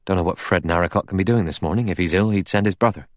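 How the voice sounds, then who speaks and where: Man playing a low-voiced deferential butler. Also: fast talker, dry recording, clean studio sound, lightly acted. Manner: polite, servile. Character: deferential, subdued male butler.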